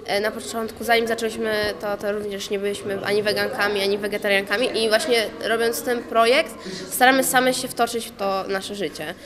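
A woman's voice: mid-range voice, steady tone, fairly quick. A teenage girl student answering interview questions in a school hallway, chatty and earnest, into a handheld mic. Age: teens. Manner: chatty, earnest, many fillers. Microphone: handheld mic held by interviewer. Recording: crowd chatter behind.

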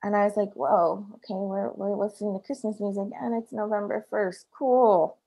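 A woman in casual podcast conversation, relaxed and thoughtful, laughing often. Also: medium pitch, normal speed, vocal fry, rough recording.

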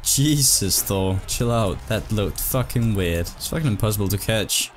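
Low-voiced sweary male gamer. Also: animated, normal speed, a little hiss or hum. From Denmark. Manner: sweary, exasperated gamer talk. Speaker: gaming video host. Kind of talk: casual gameplay commentary.

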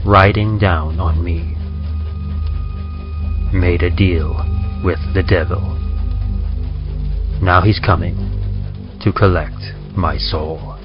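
A man playing a grim horror storyteller, reading verse in old-time radio style with an ominous, measured, folksy delivery. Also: deep voice, fairly even intonation. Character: grim, doom-laden male horror-train storyteller. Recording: dull lo-fi audio under loud music.

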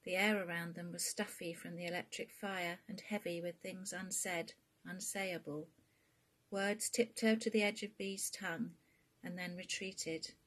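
A woman reads fiction aloud as its author. Her voice sits a little low and has a noticeably husky, breath-worn grain. She narrates as a storyteller introducing and reading her own work. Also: steady tone, unhurried, a bit bright.

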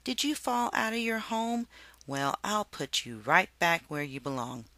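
A naive storybook maiden, as a reader puts her on, gushing and cooing. The voice is lifted high and very bright, full of tender-hearted innocence. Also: big pitch swings, unhurried, no room sound, full character voice.

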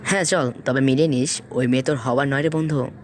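A man's voice: mid-range voice, fairly even intonation, fairly quick, a little hiss or hum, lightly acted. A man playing a mocking, bantering young college friend in an audio love story. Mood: teasing.

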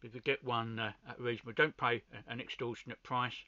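A man's voice: medium pitch, little pitch movement, fast, bright, forceful tone, no echo. A man giving a casual, unscripted equipment walkthrough as a hobbyist, often hesitating and repeating his words. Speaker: amateur radio hobbyist presenting a demo. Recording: dull, lo-fi sound.

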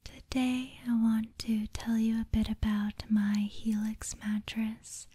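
Whispering, slow, mellow ASMR woman. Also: medium pitch, dry recording. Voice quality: breathy, whispery. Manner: slow, soothing, deliberate. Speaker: ASMR video host. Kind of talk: whispered soft-spoken ASMR, guided sleep meditation.

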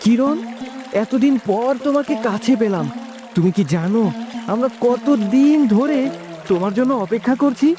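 A man plays a young fairy-tale prince, earnest and affectionate. His voice is extremely high for a man and tender in delivery, with music clearly behind it. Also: animated, normal speed. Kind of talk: cartoon voice acting.

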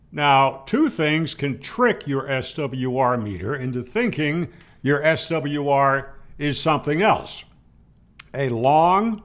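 A man's voice: a little high, a bit bright. An older man giving an explanatory tutorial, speaking plainly and emphatically and answering his own questions. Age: older, 60s or 70s. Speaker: amateur radio instructor, host. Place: home room, indoors. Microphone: studio microphone on boom arm, close.

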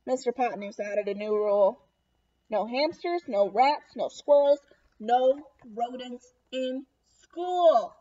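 A young woman reading a picture book aloud to children in an animated, expressive way. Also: fairly high pitch, slow pace, dull audio. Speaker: children's read-aloud host. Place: small room at home.

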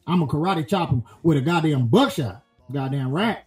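A man playing a crude, loudmouthed rural American voter who rants profanely and rambles. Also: fairly high pitch, animated, fairly quick, faint music behind, close to an everyday voice. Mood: ranting. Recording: echoey room.

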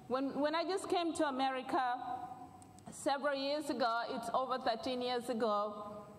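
A woman's voice: sits high, steady tone, normal speed. A middle-aged woman from Uganda with a Ugandan accent, preaching and praying fervently from a large church stage. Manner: fervent, repetitive praying cadence. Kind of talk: prayer and sermon. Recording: echoey church hall.

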